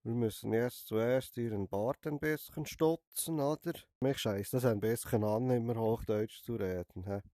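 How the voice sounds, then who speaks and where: A man's voice: medium pitch, measured pace, few pauses, mellow, no echo, studio quality. Swiss German man with a cold. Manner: chatty, teasing, ends sentences with a questioning "hä?". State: has a cold. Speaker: gamer streaming a VR barber game. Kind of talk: playful in-character gameplay commentary.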